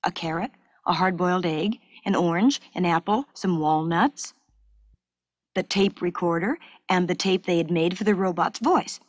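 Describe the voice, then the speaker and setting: A woman reads a scripted educational story for children in a clear, measured storytelling manner, as on a 1970s school cassette. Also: on the low side, no room sound, dull audio. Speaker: narrator.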